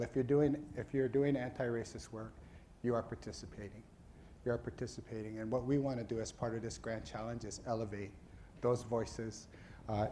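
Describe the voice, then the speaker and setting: A middle-aged man from Hawaii, a dean addressing his school's faculty in an earnest, halting way, with many fillers and restarts. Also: medium pitch, warm tone, slight background noise. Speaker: dean of a social work school.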